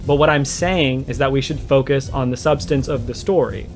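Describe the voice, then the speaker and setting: A man giving animated, argumentative commentary in a response video, explaining his points emphatically. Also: mid-range voice, moderate pace, faint music behind. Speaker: video essayist responding to another creator.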